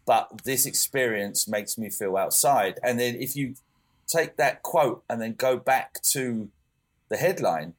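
A man's voice: mid-range voice, lively intonation, unhurried. A young man co-hosting a podcast, talking casually with lots of fillers and restarts. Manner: rambling, many fillers and restarts. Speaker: podcast co-host. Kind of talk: casual chat.

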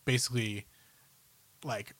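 A man's voice: medium pitch, fairly even intonation, unhurried, fairly bright tone, dry recording. A man co-hosting a podcast, chatting informally and giving opinionated, lengthy explanations about films and games. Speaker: podcast host.

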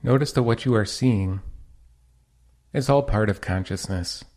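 Male meditation guide, lowish voice, measured and soothing instructional read. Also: darkish tone. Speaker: meditation teacher.

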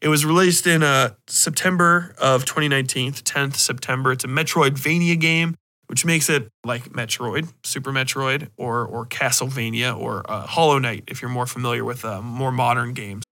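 A man's voice: mid-range voice, fairly even intonation, normal speed, pushed and bright. A man hosting a podcast, chatting in a casual, rambling way with emphatic swearing. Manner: profane, emphatic. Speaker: podcast host.

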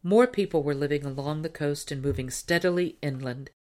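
A woman reading a tall tale as an audiobook narrator, in measured, clearly phrased scripted storytelling. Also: sits low, dark tone.

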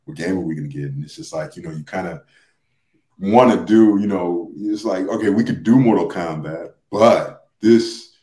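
Man, low pitch, roomy echo, halting thoughtful podcast discussion. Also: dark tone. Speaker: podcast panelist. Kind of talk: casual discussion. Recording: roomy, echoing sound.

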